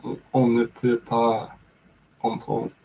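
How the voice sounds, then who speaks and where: A man from Yorkshire, a native English speaker, chatting slowly and haltingly as he searches for words. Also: medium pitch, monotone. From England, Yorkshire. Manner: slow and halting, searching for words, many pauses. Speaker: podcast co-host. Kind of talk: casual chat in a second language. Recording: dull lo-fi audio with faint noise.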